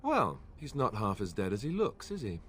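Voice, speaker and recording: An actor playing a young male Grey Warden warrior, steady and dryly teasing. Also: lowish voice, normal speed, slight background noise. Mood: wry calm. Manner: dry, teasing humor.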